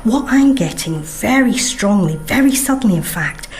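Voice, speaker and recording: An actress playing an intense, probing psychic medium in a radio drama, with a halting, portentous manner. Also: female voice, mid-range voice, expressive, a little mellow, slight background noise, lightly acted, low-quality recording.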